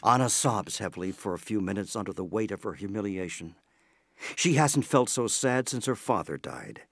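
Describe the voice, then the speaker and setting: A man narrating an audio play in a scripted, measured storytelling manner. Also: on the low side, expressive, dry recording.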